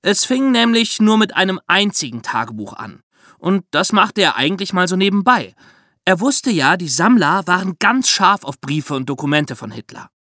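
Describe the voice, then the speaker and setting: A man's voice: sits high, very animated, normal speed, sharp tone, no echo, studio quality. A man hosting a podcast, telling a true-crime story in a colloquial, explanatory way. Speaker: podcast host.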